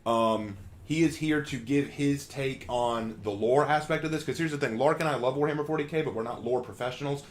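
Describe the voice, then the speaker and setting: A man's voice: medium pitch, brisk, hardly any pauses, slight background noise. A man hosting a live stream, talking in a friendly, chatty way with frequent ums and uhs. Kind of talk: casual live stream commentary.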